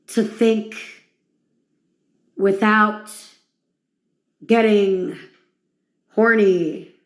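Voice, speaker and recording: A woman's voice: mid-range voice, fairly even intonation, extremely slow, echoey room. A woman delivering a dramatic, mocking monologue to one listener in a crude, theatrical, taunting way. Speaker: performer of villain monologues.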